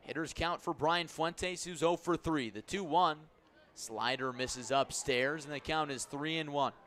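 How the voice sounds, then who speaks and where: A man's voice: on the high side, unhurried, a bit bright. A man doing live baseball play-by-play on the radio in clipped phrases, stretching key words. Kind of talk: live sports commentary.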